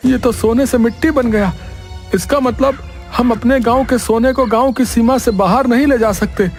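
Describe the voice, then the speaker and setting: A man playing a greedy, excitable village man in a cartoon story, exclamatory and melodramatic. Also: extremely high pitch, normal speed, soft background music. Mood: dismayed. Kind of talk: dramatic Hindi cartoon story voice acting.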